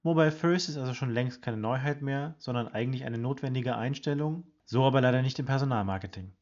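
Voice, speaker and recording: A man hosting a weekly HR news podcast, reading a scripted report in a brisk, informative way and addressing listeners directly. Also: medium pitch, muffled recording. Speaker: podcast host presenting HR study results.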